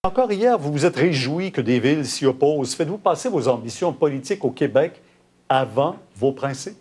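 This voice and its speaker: A male debate moderator with a husky voice. He puts pointed questions to candidates briskly and moves the floor along. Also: a little high, lively intonation, darkish tone.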